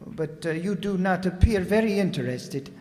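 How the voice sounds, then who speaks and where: A man's voice: high voice, expressive, moderate pace, darkish tone. Man playing an obsequious, singsong rug dealer. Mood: eager, fawning. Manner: unctuous, formal, deferential. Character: thin, impeccably mannered, obsequious Persian Gulf rug dealer. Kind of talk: old-time radio detective drama acting. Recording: reverberant hall recording.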